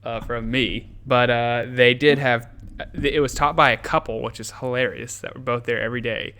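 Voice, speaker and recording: Animated young American man, smooth voice. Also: medium pitch, normal speed. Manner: animated, profane, joking, with restarts. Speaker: podcast host. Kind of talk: casual chat.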